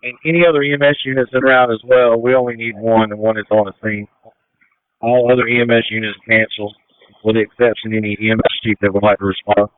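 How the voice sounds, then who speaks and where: A man's voice: lowish voice, flat delivery, fast talker, smooth, no echo. A man acting as a fire incident commander, giving matter-of-fact, procedural orders over a two-way emergency radio. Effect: two-way radio transmission. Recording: tinny narrowband fire radio channel.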